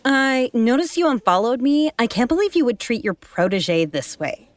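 A brash diva in a comedy audio drama. Her voice is fairly high and very animated, recorded dry and close. She is bossy, pushy and full of herself. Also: normal speed, dry recording. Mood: offended. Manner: bossy, self-important. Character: brash, pushy, self-styled diva woman aspiring author.

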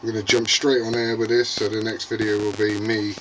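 A man's voice: lowish voice, level tone, brisk. A middle-aged British man hosting a casual, unscripted vlog, sweary and jokey as he mutters to himself. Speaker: video host unboxing CB radio gear. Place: small indoor radio workshop. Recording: noisy room, loud background noise, clicks.

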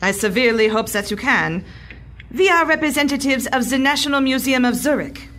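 Woman as a sharp, imperious villainess. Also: sits high, lively intonation, fairly quick. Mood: cold politeness. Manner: clipped, formal, imperious. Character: stern, formal female foreign villain posing as Swiss. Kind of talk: comic radio drama acting.

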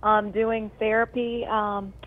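A woman's voice: mid-range voice, flat delivery, slow pace. A woman talking casually and heartfelt over a phone line, effusive and rambling, with lots of emphasis. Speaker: TV news presenter on medical leave, calling in. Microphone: telephone call.

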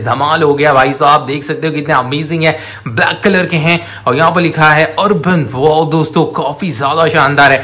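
A man's voice: on the high side, fairly bright tone. A man enthusiastically showcasing products to viewers, gushing and addressing them as friends. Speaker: host of a toy showcase channel. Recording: roomy echo, audible background noise.

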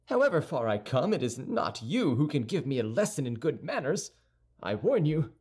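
A man's voice: medium pitch, very animated, darkish tone, husky. A man voicing a hot-headed young male swordsman, talking in an urgent, indignant rush in a storybook reading. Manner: urgent, indignant, quick to take offense.

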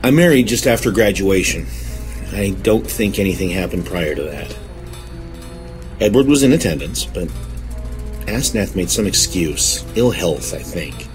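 A man playing a troubled, genteel suspect in an audio horror drama, halting, formal and earnest. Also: sits low, animated, faint music behind. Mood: haunted, reserved. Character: troubled, genteel man confessing to police, desperate.

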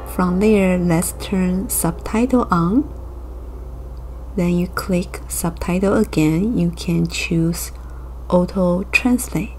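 A young woman from Taiwan, gently narrating a calm voice-over for her watercolor painting tutorial. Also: fairly low pitch, a little hiss or hum. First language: Mandarin. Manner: gentle, unhurried explaining. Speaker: watercolor art channel host.

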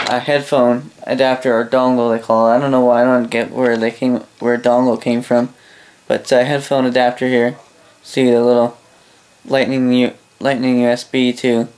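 A Canadian man casually demonstrating a gadget as a reviewer, halting, rambling and correcting himself as he goes. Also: mid-range voice, a little mellow, a little hiss or hum, low-quality recording. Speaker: product reviewer. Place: small indoor room at a desk.

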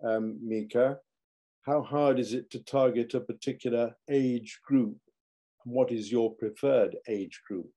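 An older man chairing a video panel, reading out audience questions in a measured, polite way. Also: mid-range voice, fairly even intonation, mellow. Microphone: video call.

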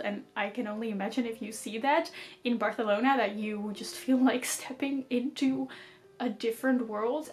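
A woman's voice: a little high, fairly bright tone. A young woman in her twenties with a Dutch accent, chatting casually to the camera about books while she does her makeup, laughing often. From Netherlands or Flanders. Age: 20s. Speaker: book vlogger. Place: small decorated bedroom, indoors.

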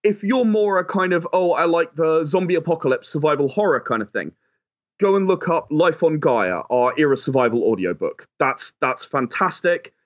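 A man's voice: fairly high pitch, expressive, normal speed, dull audio. A man being interviewed as a game creator and producer, explaining his work conversationally.

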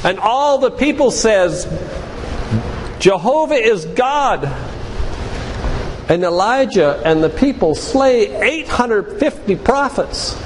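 Older male lay preacher, high-pitched, instructive storyteller. Reverberant church hall recording with noticeable noise. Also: expressive, takes it slowly, pauses often. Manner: didactic storyteller, addresses listeners directly. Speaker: physician giving a guest talk at a church. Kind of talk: sermon-like lecture retelling Bible stories. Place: large room, church.

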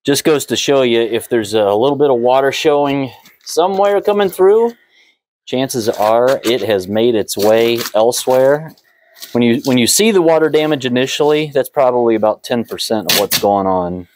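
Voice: mid-range voice, animated, normal speed, a little mellow, a little hiss or hum. A co-host making dry, wry remarks off camera inside a camper.

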